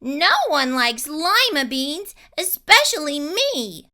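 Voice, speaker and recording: Woman as young storybook girl, very high, bright, emphatic, anxious, wide pitch swings. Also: sing-song, takes it slowly, full character voice. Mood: disgusted. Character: young girl, anxious about what others think.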